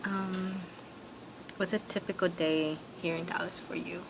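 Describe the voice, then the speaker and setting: A young woman with a low, gravelly voice asks questions hesitantly, filling gaps with "um" and "like". The recording is noisy with a steady hiss behind her. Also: unhurried. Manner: hesitant questions full of fillers and "like". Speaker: interviewer. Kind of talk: oral history interview.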